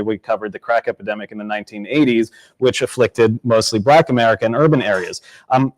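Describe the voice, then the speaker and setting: Male journalist, mid-pitched, earnest panel voice, dry close recording. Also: no echo, poor audio. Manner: earnest, pushes back, builds his point. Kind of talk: panel discussion.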